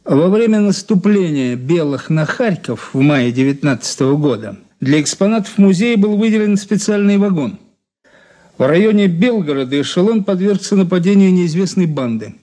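An actor playing a deferential investigator's aide in a radio play, reporting in a factual, official way. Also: male voice, sits high, expressive, moderate pace, dark tone. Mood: businesslike. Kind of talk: radio drama acting.

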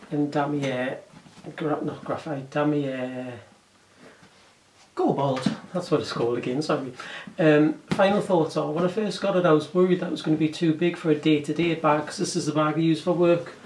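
A man in his forties with an English accent, chatting casually through a bag review, hoarse from a sore throat and often correcting himself. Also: on the high side, roomy sound, distant mic, noisy background. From England. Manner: rambling, self-correcting, chatty. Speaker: vlogger reviewing bags. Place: room indoors, curtain backdrop.

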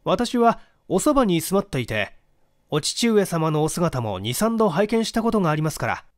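A man's voice: high-pitched, big pitch swings, normal speed, dry recording, clean studio sound. Man reading as an eager, courteous private detective. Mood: deferential, solicitous. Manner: very deferential, formal, earnest. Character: polite, eager male private detective with heavy moustache. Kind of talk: audiobook character dialogue.

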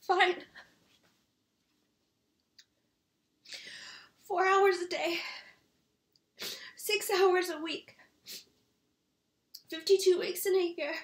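A woman's voice: very high voice, bright, forceful tone, exaggerated voice. A woman playing a hurt, exasperated grown daughter confronting her father in an emotional dramatic monologue, with pleading, indignant emphasis. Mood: bitter, demanding. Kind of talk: emotional acted monologue, self-taped audition. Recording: echoey room.

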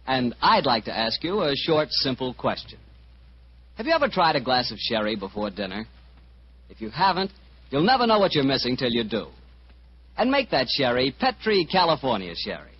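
A man with an American accent announcing in polished, salesmanlike 1940s radio style. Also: medium pitch. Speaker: announcer and sponsor pitchman. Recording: muffled, hissy old radio broadcast.

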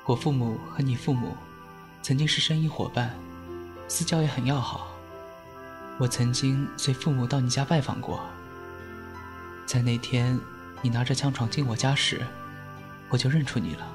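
A man acting a gentle, sincere young man in an audio drama, earnest and quietly devoted. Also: medium pitch, steady tone, moderate pace, long pauses, music in the background, lightly acted. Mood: wistful, sincere.

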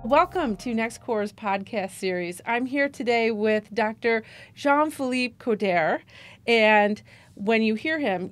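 A middle-aged woman hosting a podcast interview in a studio, asking questions with friendly quips. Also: fairly high pitch. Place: small dark studio set, seated in armchair. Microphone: studio microphone on boom stand.

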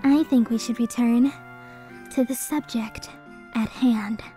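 Woman as high-voiced solemn mystical lady. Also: steady tone. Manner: formal, measured. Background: music.

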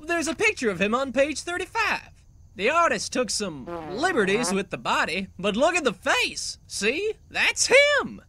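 A performer voicing an excitable male Western lawman in a cartoon comic dub, exclamatory and melodramatic. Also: high voice, a little slow, exaggerated voice. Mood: eager.

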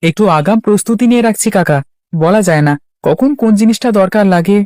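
Cartoon young villager , voice actor: practical, measured, warm soft tone, dry sound. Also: mid-range voice, no room sound, lightly acted. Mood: calm. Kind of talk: Bengali cartoon dialogue.

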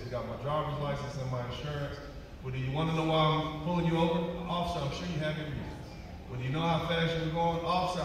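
A man on a panel giving practical advice in an emphatic, folksy way, repeating points for effect. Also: mid-range voice. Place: on stage in a large auditorium. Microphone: recorded from the audience seats, far from stage. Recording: distant, echoey theater hall with noise.

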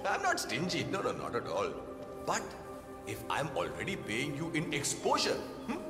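A vain male film star, smug and showy, with very lively intonation. He cajoles in an echoing room, music playing behind him. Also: on the high side, normal speed. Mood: smug, cajoling. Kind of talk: acted game cutscene dialogue.

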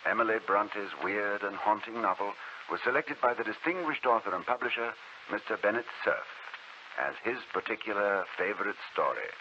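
A man's voice: fairly low pitch, lively intonation, moderate pace. A man hosting as announcer in a formal, polished 1940s radio style. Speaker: announcer and host. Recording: muffled 1940s radio recording, hiss.

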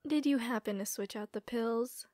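Casting: scheming young villainess acted by a woman, bossy, fairly high voice, dry close sound. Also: normal speed, darkish tone, no room sound, close to an everyday voice. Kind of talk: amateur animated roleplay drama acting.

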